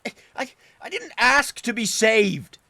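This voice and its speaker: A man reading a story, voicing a tormented young man who stammers and shouts at his father through tears. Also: very high voice, very animated, unhurried, no echo, voice pushed up, exaggerated voice. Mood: sobbing. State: crying. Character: tormented young man, broken, furious, sobbing son.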